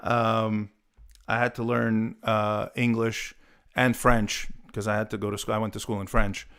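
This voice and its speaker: Rambling Italian-born man, smooth voice. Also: lowish voice, little pitch movement, measured pace, fairly bright tone. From Italy. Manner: rambling, with many fillers and restarts. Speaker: guitar channel host. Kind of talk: casual live stream chat.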